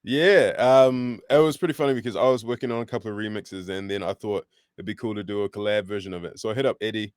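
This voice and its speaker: A man around thirty, raised in New Zealand, answers interview questions in a relaxed, friendly way. His voice is fairly low, smooth and clear. The recording is close and dry. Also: fast, few pauses, no echo. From born in England, raised in New Zealand. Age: 30s. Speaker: rapper, guest. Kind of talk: casual interview over video call.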